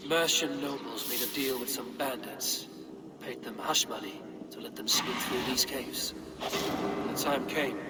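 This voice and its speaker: A man acts out a stern game warrior, terse and blunt. He states hard facts plainly in a mid-pitched voice, with long reverb around it. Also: natural voice. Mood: focused, grave. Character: stern, blunt male warrior investigator, adult. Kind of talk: dramatic video game acting.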